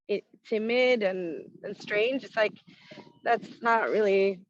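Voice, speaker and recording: A woman from Sweden, a rock singer with a naturally raspy voice, now extra hoarse from touring, talking frankly in a casual interview with frequent laughs and restarts. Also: mid-range voice, slow pace, dull audio, slight background noise. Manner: frank, laughs often, many fillers and restarts.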